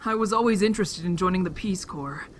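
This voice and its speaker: A woman's voice: on the low side, a little fast, close to an everyday voice. A woman playing a mild, idealistic young scientist in an audio drama, talking in a casual, reflective way. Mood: wistful. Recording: slightly noisy amateur recording with ambience.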